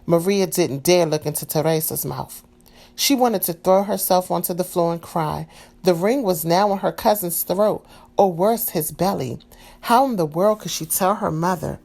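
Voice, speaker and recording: A woman reading a children's storybook aloud in a calm, expressive way. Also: on the low side, normal speed, creaky. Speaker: storybook reader.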